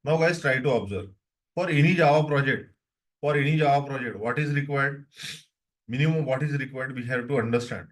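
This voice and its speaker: A man's voice: medium pitch, brisk, poor audio. Young indian guy doing an online tech lesson, repeats stuff, keeps asking if everyone's following. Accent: Indian English. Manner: repetitive, checks in with students often. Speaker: DevOps instructor.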